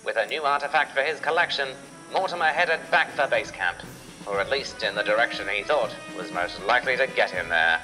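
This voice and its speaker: Male narrator, medium pitch, dramatic children's storytelling, prominent music underneath. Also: animated, a little fast, low-quality recording.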